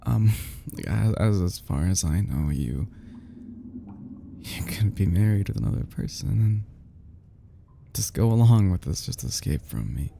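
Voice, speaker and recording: A man playing an obsessive, lovesick young yandere kidnapper in an ASMR roleplay drama, stammering and sighing with halting, fragile tenderness, often dropping into breathy half-whispers. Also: deep voice, very animated, mellow, slight background noise, full character voice. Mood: anxious, possessive.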